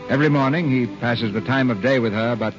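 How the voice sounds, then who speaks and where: A man's voice: medium pitch, brisk. A man announcing as host of a 1930s radio show, in a polished, theatrical scripted style. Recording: old muffled radio broadcast, faint music.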